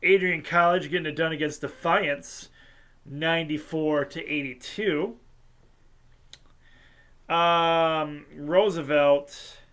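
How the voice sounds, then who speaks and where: A congested host, casually reading a sports scores recap with lots of ums and uhs and small jokes. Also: male voice, on the high side, pushed and bright. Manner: halting, filler-heavy delivery with light jokes. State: congested, sinusy.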